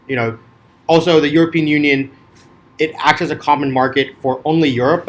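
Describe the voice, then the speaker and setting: A man's voice: mid-range voice, a bit bright, slight background noise. Rambling man presenting a class. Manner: rambling, full of "like" and "um". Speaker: lecturer presenting videos. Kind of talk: casual classroom talk.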